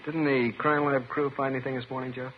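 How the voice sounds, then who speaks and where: An actor playing a musing, doubtful investigator in a 1950s radio police drama, speaking in short, laconic remarks. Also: male voice, medium pitch, little pitch movement, quick pace, natural voice. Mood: puzzled. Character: musing, doubtful male investigator at a murder scene. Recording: dull, hissy vintage 1950s radio recording.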